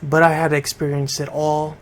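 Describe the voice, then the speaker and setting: Higher-pitched American man hosting radio. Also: level tone, normal speed. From Bridgeport, Connecticut, United States. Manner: conversational, addresses listeners directly. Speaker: radio host and DJ. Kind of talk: informal radio monologue.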